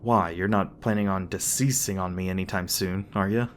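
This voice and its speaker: A man's voice: deep voice, fairly even intonation, normal speed, slight background noise, natural voice. A man playing a flustered, tender demon boyfriend in an intimate ASMR roleplay, speaking hesitantly with stammers, bashful and a little defensive. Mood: teasing, relieved. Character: flustered, tender young male demon boyfriend, secretly human-born.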